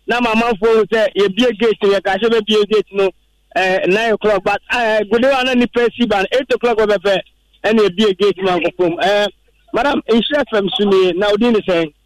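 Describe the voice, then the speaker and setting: A man's voice: sits high, steady tone, fairly quick, no echo, rough recording. A Ghanaian man presenting live radio at an outdoor family event, chatting and asking questions in an upbeat way.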